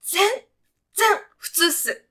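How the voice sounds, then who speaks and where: A woman's voice: slow pace, pushed and bright. A woman playing a brash, breezy guide to heaven in a comic radio sketch, talking in punchy, clipped, casual slang like a sporty junior employee. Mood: breezy, matter-of-fact.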